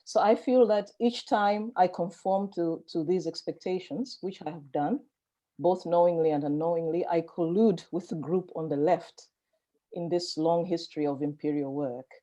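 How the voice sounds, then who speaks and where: A woman from Malawi speaking as a panelist at an online conference, measured and reflective, with soft fillers. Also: lowish voice. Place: home, online video call.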